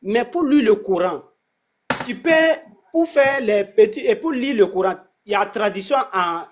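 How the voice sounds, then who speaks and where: A man explaining his faith in a casual conversation, halting and repeating himself often. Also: high-pitched, animated. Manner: halting, repeats words, many restarts.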